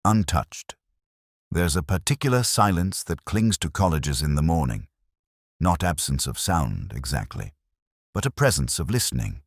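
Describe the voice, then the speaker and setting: Very deep, husky world-weary detective played by a man. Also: no echo, clean studio sound, exaggerated voice. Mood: quiet, watchful. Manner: measured, wry, reflective. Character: melancholy, world-weary older male Oxford police detective narrating. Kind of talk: scripted first-person audio mystery narration.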